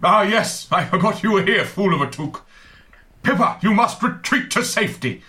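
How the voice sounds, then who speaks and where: A man's voice: high-pitched, expressive, moderate pace, sharp tone. A man playing an urgent, irritable old wizard in a comic pantomime, exclaiming and scolding. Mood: urgent, exasperated. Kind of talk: amateur comic pantomime acting.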